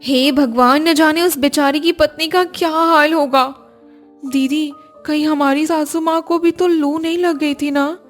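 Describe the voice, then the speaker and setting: A woman voicing a young, practical village daughter-in-law in a Hindi cartoon, with expressive, homely conversational delivery. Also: high-pitched, unhurried, faint music behind. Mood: concerned, practical. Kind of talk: Hindi cartoon story voice acting.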